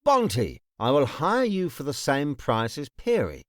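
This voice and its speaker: An actor voicing a shrewd, authoritative lord in a fantasy audiobook, speaking formally and sternly. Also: male voice, a little high, wildly swooping pitch, measured pace, no echo, clean studio sound. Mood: commanding. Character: commanding, shrewd male lord giving stern orders. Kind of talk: audiobook character acting.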